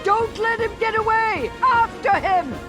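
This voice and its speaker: Shrill, bombastic, hot-tempered queen. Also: unhurried, music in the background. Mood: furious. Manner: bombastic, commanding, accusing. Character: imperious, hot-tempered queen of a fairy-tale land. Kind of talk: animated game voice acting.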